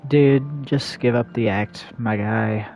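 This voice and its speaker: A man's voice: mid-range voice, slow, darkish tone, highs cut off, faint music behind. A man giving casual live commentary as a game streamer, tossing in laid-back, dry asides.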